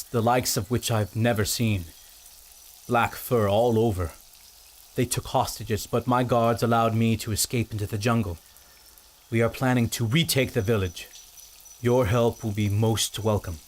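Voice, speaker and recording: An actor playing a dignified island king who speaks in measured, formal sentences. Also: medium pitch, lightly acted. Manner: measured, formal and stately speech. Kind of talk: audio drama acting.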